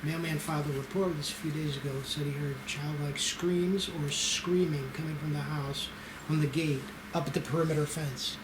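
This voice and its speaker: A man's voice: on the high side, fairly even intonation, moderate pace, lightly acted. A man playing a self-assured, wry special agent from child services in an amateur screenplay table read. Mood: businesslike. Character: cocky, unflappable male federal child services special agent. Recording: roomy echo with clear noise.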